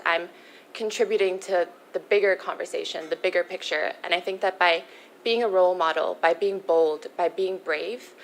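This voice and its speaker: A young woman raised in the Philippines answers pageant interview questions with poise. Her voice sits fairly low and she speaks in a measured, earnest way. A faint hiss runs under the recording. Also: low-quality recording. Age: young adult. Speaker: pageant contestant.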